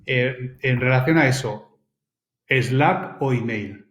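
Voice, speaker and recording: A man hosts a podcast, putting questions in a friendly, stop-start manner with plenty of fillers. His voice sits at an ordinary middle pitch. The sound carries a noticeable room echo. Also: takes it slowly, a bit bright. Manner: friendly, hesitant, many fillers. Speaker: podcast host. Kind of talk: casual interview. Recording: roomy echo.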